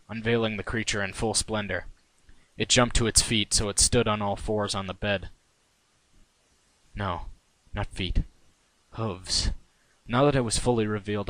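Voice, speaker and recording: A man's voice: on the low side, a bit bright, no room sound, poor audio. A man reading his own first-person fan fiction in a wry, conversational way. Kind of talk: first-person story narration.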